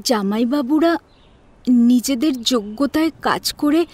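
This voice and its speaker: A woman acting a proud, long-suffering young wife, measured and pointed, in an audio drama. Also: high voice, darkish tone. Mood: cutting. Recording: music behind the voice.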